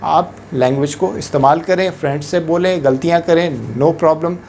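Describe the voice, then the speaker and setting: A man's voice: on the high side, gravelly, darkish tone, slight background noise. A man from Pakistan, an English teacher giving a patient instructional talk and switching often into English. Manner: measured, patient explaining, switching often into English words and phrases.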